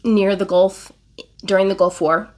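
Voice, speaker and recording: A woman's voice: medium pitch, fairly even intonation, unhurried, darkish tone. A woman in her forties, still congested from an illness, talking earnestly and emotionally about a book in a casual reading vlog, with fillers and self-corrections. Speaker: book vlogger.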